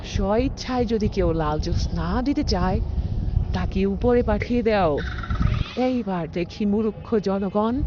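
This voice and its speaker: A woman's voice: medium pitch, measured pace, natural voice. A woman voicing a greedy, mocking female tyrant queen in a cartoon who cackles and barks orders. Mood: greedy, scornful. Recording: muffled lo-fi with loud noise.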